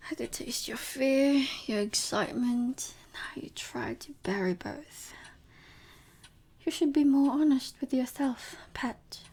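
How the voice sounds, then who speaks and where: A woman playing a seductive, possessive vampire professor in an intimate ASMR audio roleplay, breathy and often whispering, teasing and softly commanding. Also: sits high, full character voice. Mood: gloating, coddling.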